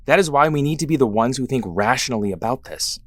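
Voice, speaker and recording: A man's voice: medium pitch, animated, fast, a bit bright, no room sound. Man playing a cautious young hero, dry recording. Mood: cautious, weary. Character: cautious, level-headed young male superhero. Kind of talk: audio drama acting.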